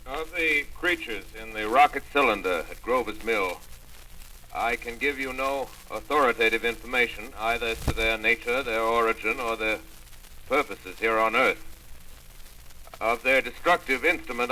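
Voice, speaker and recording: An actor playing a learned, cautious professor explaining a weapon in a formal, measured way, in a scratchy 1930s radio drama. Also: male voice, mid-range voice, sharp tone. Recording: scratchy old radio recording, distant.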